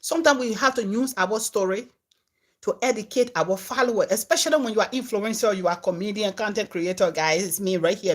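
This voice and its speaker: A Liberian woman, a comedian and content creator, telling her followers a story in a casual, animated way that swings from loud to hushed. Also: fairly high pitch.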